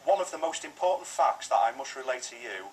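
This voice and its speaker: A man's voice: mid-range voice, lively intonation, fast talker. A British man, a police officer, giving a scripted public safety appeal in a formal, earnest manner. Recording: distant, slightly noisy screen playback.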